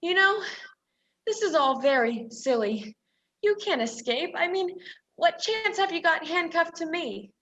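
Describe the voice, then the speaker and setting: A woman's voice: very high-pitched, expressive, measured pace, fairly bright tone, exaggerated voice. A woman acting in a radio play as a prim, protesting young woman, a defiant and indignant reluctant captive. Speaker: student stage actor. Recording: dull, lo-fi virtual recording.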